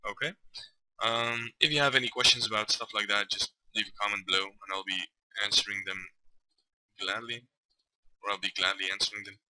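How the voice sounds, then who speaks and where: A man from Belgium, hesitantly talking through a software tutorial with lots of fillers and self-corrections. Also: on the low side, fairly even intonation, brisk, rough recording. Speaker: tutorial maker. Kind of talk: casual screen-recorded software tutorial. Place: at a computer desk.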